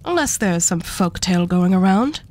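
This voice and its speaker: A woman playing an arch devil who hoards relics, speaking with unhurried, condescending and politely menacing poise in a scripted ASMR roleplay. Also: fairly low pitch, lively intonation, darkish tone, close to an everyday voice. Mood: coolly menacing.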